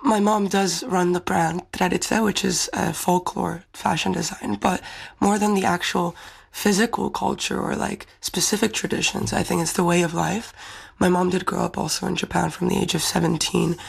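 A young woman from the Czech Republic answers interview questions in thoughtful, earnest English. Her voice sits a little low and carries heavy vocal fry with a husky edge. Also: steady tone, moderate pace. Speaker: beauty pageant winner.

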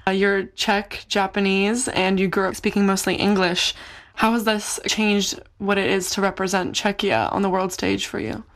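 A woman interviewer reads out her prepared questions in a measured, formal way. Her voice sits at an ordinary middle pitch. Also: flat delivery, fairly bright tone.

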